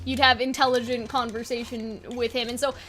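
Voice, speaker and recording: Female online video host, very high bright voice, loose rambling delivery, unscripted and informal. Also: level tone, fairly quick, slight background noise. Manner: rambling, informal, occasionally sweary. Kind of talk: casual unscripted brainstorming chat.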